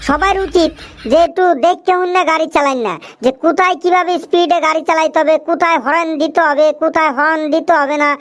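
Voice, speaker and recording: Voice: extremely high pitch, fairly even intonation, quick pace, a bit bright. A performer voicing a talkative, earnest young cartoon friend in an eastern Bengali dialect, speaking in an emphatic, colloquial, preachy way. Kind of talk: cartoon dialogue with moral advice.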